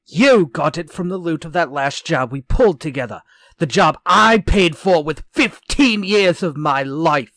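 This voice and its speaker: An actor playing a vengeful, menacing ex-convict murderer in a retro radio drama, theatrical, taunting and deliberate. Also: male voice, a little high, animated, a bit bright, no echo, studio quality. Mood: demanding, angry.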